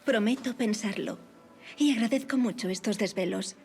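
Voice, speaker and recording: A woman's voice: mid-range voice, moderate pace, a little mellow, soft background music, lightly acted. A woman acting in an audio drama as a gracious, witty young aristocratic lady, poised and formally polite. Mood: politely firm.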